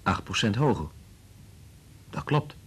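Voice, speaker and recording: An actor playing a gentle, earnest, considerate researcher in a Dutch radio drama, with a husky voice. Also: male voice, fairly low pitch, expressive, takes it slowly, heavily acted. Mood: warm, earnest.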